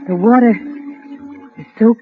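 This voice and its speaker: A woman acting in a 1940s radio drama as a coaxing, quietly sinister companion, on a thin old recording. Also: on the high side, a little slow, narrow, tinny audio, soft background music, lightly acted. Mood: coaxing, insistent.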